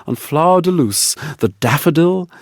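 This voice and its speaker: A man narrating an audiobook fairy tale in an expressive, scripted storybook style. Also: fairly high pitch, moderate pace, no echo, clean studio sound.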